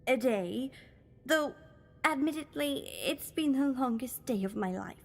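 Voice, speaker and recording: A woman's voice: high-pitched, lively intonation, a little slow, vocal fry, exaggerated voice. A young woman playing a feisty, wary captive in an audio drama, questioning and sceptical.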